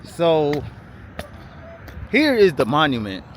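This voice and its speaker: A man filming a casual travel vlog while walking outdoors through quiet city streets, rambling chattily with lots of "like" and "um". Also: a little high, huge pitch swings, takes it slowly. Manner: rambling, chatty, many "like" and "um" fillers. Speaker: travel vlogger. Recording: outdoor street noise and traffic.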